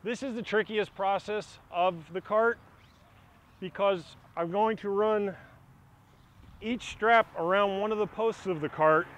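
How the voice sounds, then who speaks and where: Man, middle-aged, fairly high voice, plain practical explainer, outdoor demo, grassy yard. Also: measured pace, a bit bright. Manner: plain, practical explaining while handling gear. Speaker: product demonstrator for a kayak shop. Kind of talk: outdoor product demonstration.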